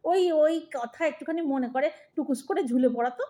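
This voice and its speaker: An exasperated old wife played by a woman in a comic audio play. Her voice is very high, with a scolding, sarcastic edge and a mock-sweet way of needling her husband. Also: fairly quick, dark tone, natural voice. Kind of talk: comic Bengali audio drama acting.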